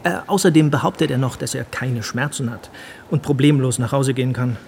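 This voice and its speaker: An actor playing a sceptical, matter-of-fact doctor with a sardonic edge in a naturalistic radio drama. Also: male voice, medium pitch, brisk, creaky, a little mellow, slight background noise, lightly acted. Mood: sceptical, wry. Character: sceptical male doctor briskly reporting a suspicious case.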